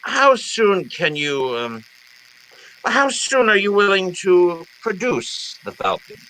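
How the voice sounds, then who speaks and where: A man's voice: sits high, big pitch swings, slow, bright, forceful tone, exaggerated voice, rough recording. A man playing a genteel, wily crime boss in a radio detective drama, speaking in a pompous, florid, courteous way. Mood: grandly scheming. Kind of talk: old time radio detective drama acting.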